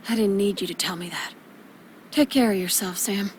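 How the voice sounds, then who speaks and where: A woman's voice: mid-range voice, moderate pace, slight background noise, natural voice. Woman playing a defensive, bitter mother. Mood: guarded, curt. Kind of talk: video game voice acting.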